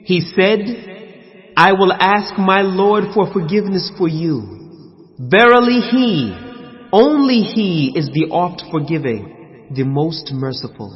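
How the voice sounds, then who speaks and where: Slow, high-voiced American man reading in reverb. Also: animated. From USA. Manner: measured, declamatory, with emphatic phrases. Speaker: reader of scripture translation. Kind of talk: solemn scripted scripture reading. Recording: long reverb, slightly muffled sound.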